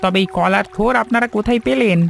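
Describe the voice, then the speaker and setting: A man's voice: high voice, fast talker, vocal fry, a bit bright, slight background noise, exaggerated voice. A man voicing a needy, respectful young villager in a cartoon, speaking earnestly and deferentially. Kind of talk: animated moral story dubbing.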